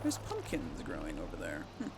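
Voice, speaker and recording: A woman's voice: a bass voice, huge pitch swings, moderate pace, very noisy. A woman giving casual video game commentary, thinking aloud in an exasperated way. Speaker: host playing a video game. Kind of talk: casual let's play commentary.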